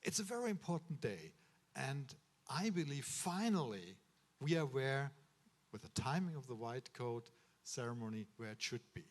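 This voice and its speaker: A man's voice: mid-range voice, sing-song, normal speed. Earnest German-accented man giving advice. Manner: earnest, advising, with frequent uh fillers and restarts. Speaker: medical school official addressing students. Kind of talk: ceremony speech. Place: ceremony hall.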